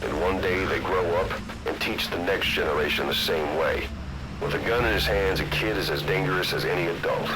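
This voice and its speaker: An actor playing a stern, gruff military commander, giving a measured and grave mission briefing. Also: male voice, low voice, music in the background, lightly acted, low-quality recording. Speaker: mission support officer on radio.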